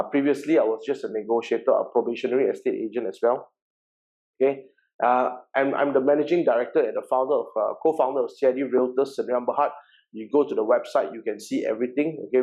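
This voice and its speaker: A man's voice: medium pitch, a little mellow. Malaysian man presenting, dull lo-fi sound. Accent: Malaysian English. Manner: chatty, repeats words, frequent "okay" checks. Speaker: workshop presenter and real estate agency founder. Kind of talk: informal seminar presentation.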